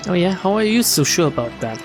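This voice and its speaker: Guy doing a let's play, highish voice that swings around, reads the story then reacts. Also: fast talker, over music. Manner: expressive reactions, casual swearing. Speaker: game streamer. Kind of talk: let's-play reading of a visual novel with casual commentary.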